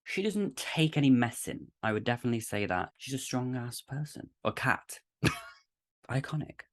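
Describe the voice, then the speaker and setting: A woman's voice: a bass voice, lively intonation, moderate pace, background noise. A woman chatting casually in a gushing, slangy way as an amateur cast member. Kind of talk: casual chat.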